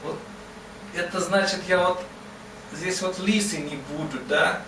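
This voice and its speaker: A man's voice: sits high, measured pace, pushed and bright, voice pushed up. A man voicing old, bearded Confucius as a folksy sage, rambling and asking "right?" after every phrase. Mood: boastful, teasing. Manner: rambling, repeats "да?" and "вот" constantly. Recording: roomy, distant mic, ambient noise.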